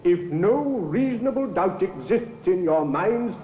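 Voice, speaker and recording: An actor playing a stern, formal judge with a weighty, caustic manner in an old-time radio drama. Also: male voice, high voice, expressive, measured pace, warm tone. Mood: caustic, grave. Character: stern, caustic male judge addressing a jury. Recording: echoey, dull, faintly noisy old radio recording.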